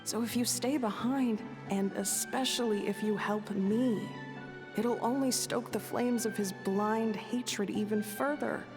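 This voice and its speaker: Wise resolute female chieftain, game drama, slow, tender, reflective, long reverb, music behind. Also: a little high, steady tone. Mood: solemn, resigned. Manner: unhurried, gentle, reflective, firm. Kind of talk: dramatic video game acting.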